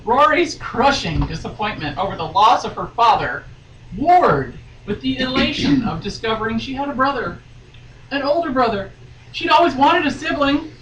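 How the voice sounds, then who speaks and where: A woman reads theatrically and drops in joking, casual asides. Her voice is bright and very animated, with strong room echo around it. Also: high voice, moderate pace. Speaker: guest reader. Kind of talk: dramatic reading with playful casual asides. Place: sewing room, indoors. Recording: echoey room, distant mic, clear noise.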